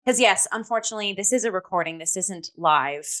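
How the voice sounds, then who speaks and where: A woman's voice: medium pitch, a little fast, a bit bright. Playful gushing woman co-hosting a show. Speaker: podcast co-host. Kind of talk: casual chatty review show.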